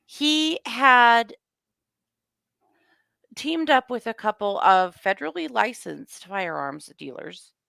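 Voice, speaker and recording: A hoarse woman co-hosting a podcast, telling a story in casual chat with wry joking asides. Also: fairly high pitch, animated, takes it slowly, long pauses, pushed and bright, dry recording. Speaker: co-host.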